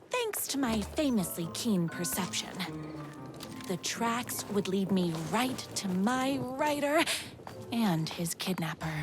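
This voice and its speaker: A woman playing a perky, lovestruck, naively self-assured young heroine in a campy video game, earnest and melodramatic in a chipper way. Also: a little high.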